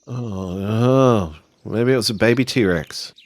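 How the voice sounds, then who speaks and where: A man's voice: on the low side, slow, dry recording. A man voicing a jumpy, scared, slangy boy in a tabletop role-playing game. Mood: scared, jittery.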